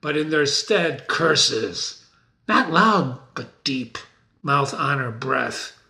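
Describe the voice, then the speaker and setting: A man playing a defiant, world-weary tyrant king in a dramatic reading of Shakespearean verse, commanding and given to sudden shouts. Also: medium pitch, animated, extremely slow, vocal fry, full character voice. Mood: bleak, weary.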